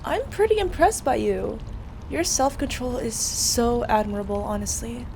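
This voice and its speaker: Casting: actress for frank, caring young woman, slightly high voice, casually coaxing, audio drama with clear background noise. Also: animated, normal speed, darkish tone. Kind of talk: audio roleplay drama acting.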